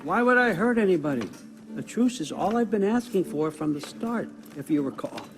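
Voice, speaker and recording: A man's voice: high-pitched, lively intonation, normal speed. An actor playing a sly, powerful older god-king in a video game, offhand and commanding. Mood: breezy reassurance. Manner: offhand, wry, talking like a busy boss. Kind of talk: naturalistic video game cutscene acting. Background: background music.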